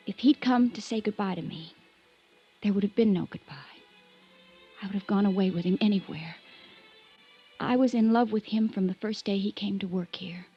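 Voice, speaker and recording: A woman's voice: mid-range voice, close to an everyday voice. An actress playing a troubled, lovelorn young woman from a wealthy family in a 1950s radio drama, confiding wistfully and hesitantly. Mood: tender, wistful. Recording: muffled vintage radio recording.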